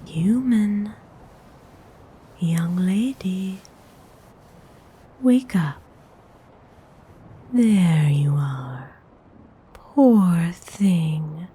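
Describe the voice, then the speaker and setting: A woman playing a courteous, commanding vampire noblewoman in an ASMR roleplay, speaking in a soft, mostly whispered voice with genteel, formal phrasing. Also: on the low side, slightly rough, full character voice. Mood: gentle coaxing. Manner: genteel, unhurried, formal wording.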